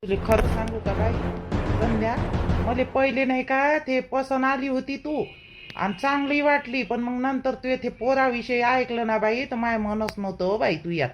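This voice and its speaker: A woman's voice: a little high, steady tone, moderate pace, highs cut off, over music, lightly acted. A woman playing a protective middle-aged village mother-in-law in a cartoon, chatty and emphatic, with a Vidarbha Marathi accent. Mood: confiding. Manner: chatty, emphatic, repeats herself. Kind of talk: homely cartoon drama dialogue.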